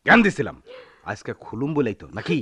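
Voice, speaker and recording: An actor playing a bullying, aggrieved village husband with a rural Bangladeshi accent, scolding and taunting in a radio drama style. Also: male voice, mid-range voice, big pitch swings, fast, bright, forceful tone, breathy edge, no room sound, exaggerated voice. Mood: demanding, irritated. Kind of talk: Bengali radio drama acting.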